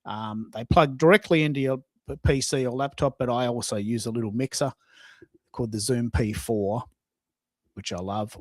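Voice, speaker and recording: A man's voice: medium pitch, normal speed, no room sound. A man with an Australian accent, hosting a live audio show in a casual, rambling, hesitant way. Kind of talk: casual unscripted live chat.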